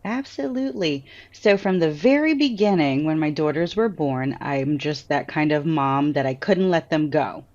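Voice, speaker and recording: A woman with an American accent, an author answering interview questions in a lively storytelling way and laughing often. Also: deep voice, moderate pace, few pauses, muffled recording. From Trinidad. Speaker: author and guest.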